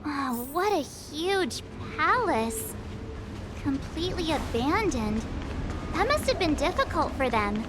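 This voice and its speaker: A tiny childlike game companion with an extremely high voice. The little one is chatty, cute and whiny in an informal way, over clearly audible music. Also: female voice, big pitch swings, slow pace, full character voice. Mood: curious, chatty. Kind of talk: video game voice acting. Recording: music under the voice.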